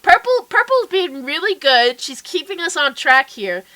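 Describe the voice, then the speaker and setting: A woman's voice: high-pitched, very animated, moderate pace, pushed and bright. A woman hosting a casual livestream chat, a bit stuffy and nasal from a cold, talking in a chatty, upbeat way. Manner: chatty, upbeat, lots of fillers. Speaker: livestream host.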